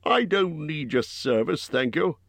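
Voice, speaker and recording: A man voicing a huge, gruff bear-man who is blunt and impolite, in a growling voice. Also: lively intonation, unhurried, a bit bright, no echo, heavily acted. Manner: booming, growling, rough.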